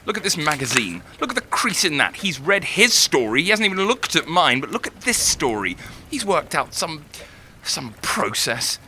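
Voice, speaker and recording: A tormented young writer in an audio drama, self-doubting and lovesick. His voice is sharp and forceful, raw with feeling, with slight noise behind it. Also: a little high, very animated, normal speed, hardly any pauses, exaggerated voice. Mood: envious frustration. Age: young adult. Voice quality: intense, emotional delivery.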